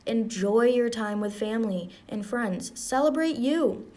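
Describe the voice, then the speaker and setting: A young woman reading a book aloud to students in a calm, encouraging voice, then talking through a class activity in a quick, chatty way. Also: on the high side. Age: young adult. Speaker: student reader.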